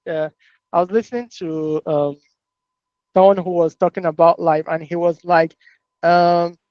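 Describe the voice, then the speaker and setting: Casual discussion voice: thoughtful, halting, mid-pitched, on a dry and muffled lo-fi recording. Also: no room sound. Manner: hesitant, reflective, with repeated words.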